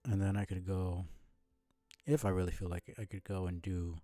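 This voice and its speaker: A man streaming a video game with casual commentary, musing and thinking aloud in a low-key way. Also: steady tone, moderate pace, dark tone, no room sound, soft background music.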